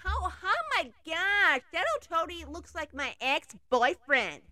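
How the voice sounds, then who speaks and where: A woman voicing a teenage valley girl who yells shrilly, full of "like" fillers. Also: extremely high pitch, expressive, takes it slowly, no echo, clean studio sound, pitched above the performer's own voice, heavily acted. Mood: shrill. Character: shrill valley-girl teen yelling at her ex.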